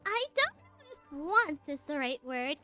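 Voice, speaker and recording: A woman voicing a small, gentle young storybook dragon in a game, earnest and hesitant, stumbling over words. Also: sits high, very animated, moderate pace. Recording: music playing behind the voice.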